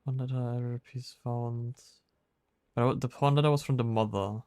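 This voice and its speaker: A man's voice: medium pitch, fairly even intonation, normal speed, a little mellow, no echo, studio quality. A man streaming a game with casual commentary, wryly musing aloud to himself. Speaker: live streamer playing a detective game.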